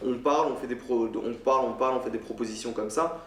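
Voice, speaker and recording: Fast, insistent young man from France. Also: mid-range voice. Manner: insistent, hesitant with fillers. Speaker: interviewee. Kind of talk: earnest interview testimony. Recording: roomy, distant mic, music behind.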